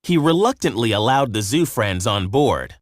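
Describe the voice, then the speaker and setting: A man narrating a children's story from a script in an expressive storytelling manner. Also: medium pitch, moderate pace, dry recording, clean studio sound.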